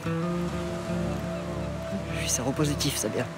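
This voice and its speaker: A man's voice: mid-range voice, unhurried, over loud music, close to an everyday voice. An actor playing a frightened, ashamed young man. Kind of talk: soap opera acting.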